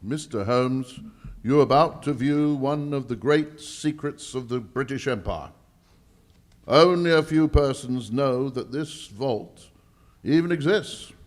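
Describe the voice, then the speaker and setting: An actor playing a pompous, formal English lord in a live old-time radio mystery, speaking with declamatory emphasis. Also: male voice, mid-range voice, slow, natural voice. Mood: grave. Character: pompous English lord guarding the nation's gold vault. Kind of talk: old-time radio drama acting on stage. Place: live stage performance at a conference.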